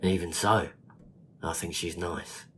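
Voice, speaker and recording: A man's voice: low voice, fairly even intonation, slow pace, fairly bright tone, a little hiss or hum. An actor playing a friendly, awkward, plain-spoken man in an audio drama. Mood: reflective. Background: music.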